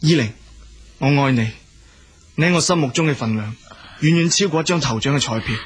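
An actor playing an earnest, forgiving young boyfriend in a radio drama, speaking Hong Kong Cantonese with emotional delivery. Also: male voice, mid-range voice, fairly quick, husky, natural voice. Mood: remorseful, tender.

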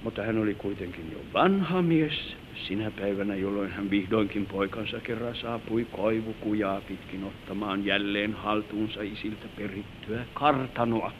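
A gentle old grandfather played by a man reminisces tenderly and solemnly, now and then drifting into earnest moral advice. He speaks as in a vintage radio drama, through a thin, phone-like recording with light hiss. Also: lowish voice, few pauses, lightly acted. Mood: reminiscing. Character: gentle old grandfather telling his life story to granddaughter. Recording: tinny, noisy old radio broadcast recording.

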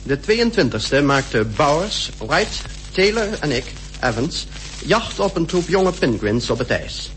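An actor playing a polar expedition member recounting his diary in clear, formal radio-drama style. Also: fairly high pitch, sing-song, unhurried, fairly bright tone, soft background music, low-quality recording. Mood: matter-of-fact.

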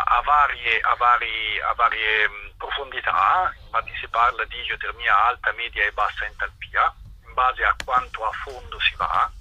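A man being interviewed as an activist, explaining informally and haltingly, searching for his words. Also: mid-range voice, pushed and bright, husky, low-quality recording.

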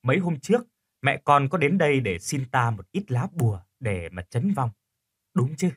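A man voicing a kindly, dignified sixty-year-old village healer in an audio story, with an unhurried, fatherly manner. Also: medium pitch, expressive, breathy edge. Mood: calm, knowing.

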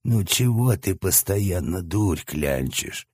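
A man's voice: sits low, expressive, takes it slowly, darkish tone, breathy edge, no echo, clean studio sound, full character voice. A man voicing the stern, commanding central head of a three-headed hellhound.

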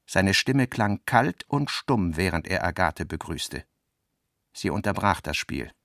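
Guy narrating a literary radio drama, creaky voice, very dry studio sound, measured delivery. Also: low-pitched, sing-song, a bit bright, dry recording. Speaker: narrator, reader of literary text and notes.

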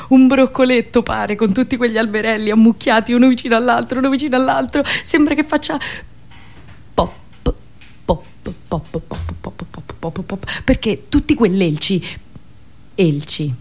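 A woman with a rural central Italian accent telling a theatrical monologue, expressive, with dramatic pauses, repetitions and slips into rustic dialect. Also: medium pitch. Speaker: storyteller and radio show host.

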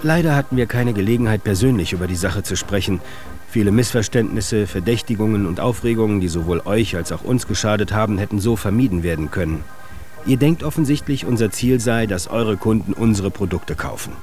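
An actor playing a self-justifying music industry manager, in a measured, explanatory radio monologue. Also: male voice, on the low side, lively intonation, darkish tone, close to an everyday voice. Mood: formal, self-justifying. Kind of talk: radio feature monologue and letter reading. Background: faint music.

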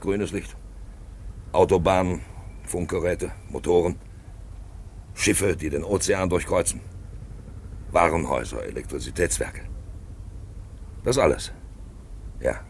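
A man acting a pensive, insistent narrator figure in a 1950s radio play, declaiming and asking probing questions with hesitant pauses. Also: low-pitched, creaky, slight background noise, full character voice. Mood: solemn. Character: pensive, insistent male narrator figure who stages the action. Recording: 1950s radio drama recording.

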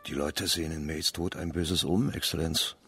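An actor playing a loyal, soldierly colonel of the city guard in a German radio play, with a husky voice. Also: male voice, extremely low pitch, fairly even intonation, normal speed, pushed and bright, soft background music, heavily acted. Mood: ominous, dismissive.

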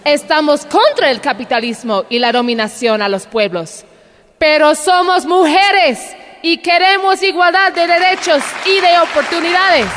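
An actress playing an earnest, insistent feminist conference delegate in a radio drama, speaking in a declamatory way. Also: female voice, sits high, expressive, a little slow, a bit bright. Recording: long hall reverb, crowd behind.

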